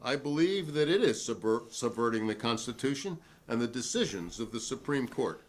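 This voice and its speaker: A man's voice: medium pitch, expressive, normal speed, natural voice. An American man reading the part of a defiant, principled witness at a 1950s hearing in an emphatic, oratorical way. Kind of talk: dramatic reading of a hearing transcript.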